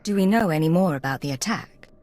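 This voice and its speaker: An actress playing a cool, self-possessed imperial agent in a video game, speaking in a terse, controlled way. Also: female voice, fairly low pitch, animated, fast talker, a little mellow, close to an everyday voice. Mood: focused.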